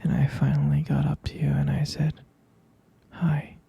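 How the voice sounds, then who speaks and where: A man playing a gentle, sleepy vampire boyfriend in an intimate ASMR bedtime roleplay, murmuring tenderly and hesitantly in a breathy, gravelly half-whisper. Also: on the high side, monotone, normal speed, highs cut off, full character voice. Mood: fond, reminiscing.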